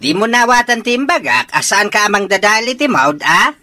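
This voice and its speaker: A woman with an Ilocano accent acting a scheming, sharp-tongued village woman in a radio comedy, with exclamatory delivery. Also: medium pitch, very animated, a little fast, no room sound. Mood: bossy, scolding.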